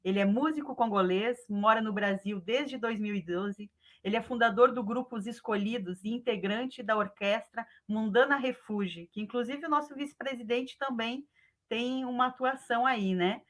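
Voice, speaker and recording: Higher-voiced Brazilian woman hosting. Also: moderate pace, fairly bright tone. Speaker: event host. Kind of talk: formal live event presenting.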